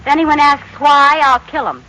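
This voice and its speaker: High-pitched, scatterbrained sitcom housewife. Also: female voice, moderate pace, pushed and bright. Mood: sarcastic. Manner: sarcastic, theatrical. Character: Liz, scatterbrained, teasing young housewife. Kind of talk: 1940s radio comedy acting. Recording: noisy old radio broadcast recording.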